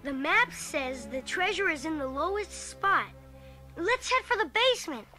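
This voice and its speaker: Determined little kid from an adventure read-along, super high and urgent, music under it. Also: animated, measured pace, sharp tone, full character voice. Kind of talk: storybook read-along character acting.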